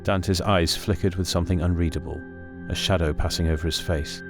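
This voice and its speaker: A man narrating a scripted horror story in a measured, suspenseful, dramatic way. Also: sits low, fairly even intonation. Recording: loud music under the voice. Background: music.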